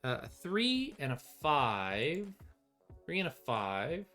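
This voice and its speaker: A man's voice: fairly high pitch, wildly swooping pitch, takes it slowly, bright, forceful tone, faint music behind. A middle-aged man gives casual, wry play-through commentary on a solo tabletop game, thinking aloud as he goes. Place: small indoor room with bookshelves.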